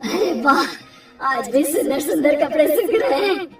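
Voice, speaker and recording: A woman voicing a vain, quick-tempered cartoon river witch in theatrical exclamations. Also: high voice, animated, roomy sound, far from the mic, soft background music, pitched above the performer's own voice. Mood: delighted, vain. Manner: theatrical exclaiming, swinging between anger and delight.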